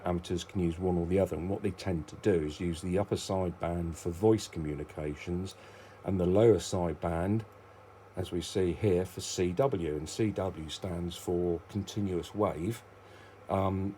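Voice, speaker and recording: Deep-voiced British man, rambling casually. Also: fairly even intonation, moderate pace, dark tone, slight background noise. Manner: rambling, self-interrupting, hesitant. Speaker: hobbyist video presenter. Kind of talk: casual unscripted demonstration.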